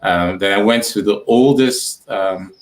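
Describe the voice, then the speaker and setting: A man's voice: fairly low pitch, a little slow. A man from Nigeria, a guest in a casual interview on a video call, talking thoughtfully and repeating words as he builds a point. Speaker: entrepreneur guest.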